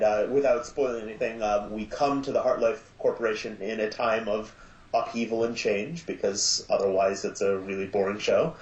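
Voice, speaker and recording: Man, hesitant, lots of ums, echoey room, muffled lo-fi sound. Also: fairly low pitch. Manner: hesitant, many filler sounds. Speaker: podcast guest from an audio drama. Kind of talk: casual interview talk. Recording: echoey, dull lo-fi line.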